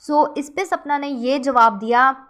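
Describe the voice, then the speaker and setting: High-pitched woman chatting thru viewer comments, sharp bright tone, keeps saying guys. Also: steady tone, unhurried, smooth, dry recording. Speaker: gossip channel host.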